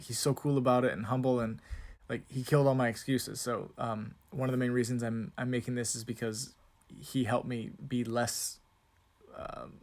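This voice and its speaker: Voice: medium pitch, a little mellow. A channel host chatting casually through a game, upbeat and self-deprecating, full of fillers like "um" and "like". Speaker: channel host and Japanese learner. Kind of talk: casual let's-play narration, reading game text aloud.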